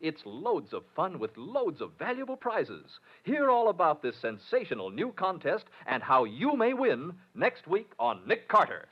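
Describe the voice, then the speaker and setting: Very animated, high-voiced male radio announcer. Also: measured pace, hardly any pauses. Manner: emphatic, polished salesmanship. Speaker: announcer and narrator. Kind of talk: 1940s radio announcing, scripted narration and sponsor pitch. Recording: muffled scratchy old radio broadcast.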